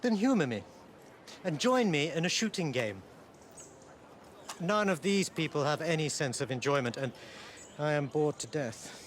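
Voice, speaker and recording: A man's voice: on the high side, expressive, normal speed, long pauses, slight background noise, close to an everyday voice. A man playing a proud young Indian maharaja in a video game cutscene, haughty and pleasure-loving, with formal aristocratic diction. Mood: bored, haughty.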